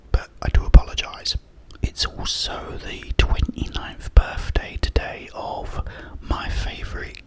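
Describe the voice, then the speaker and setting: A man with a Cockney accent who whispers softly the whole time, chatting in a rambling way as an ASMR host and telling a joke. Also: poor audio. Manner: soft unvoiced whisper throughout, rambling and chatty.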